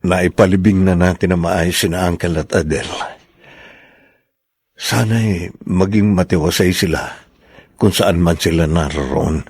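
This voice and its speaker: A man's voice: low-pitched, unhurried, long pauses, a little mellow, breathy edge, no room sound, clean studio sound, heavily acted. A man playing a humbled, remorseful husband and son in a Filipino radio drama. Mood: somber.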